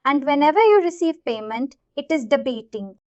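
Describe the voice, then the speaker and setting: A woman with an Indian accent giving an informal live software tutorial, explaining things repetitively and checking that listeners follow. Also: high-pitched, very animated, moderate pace, no echo, lo-fi sound. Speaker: software instructor.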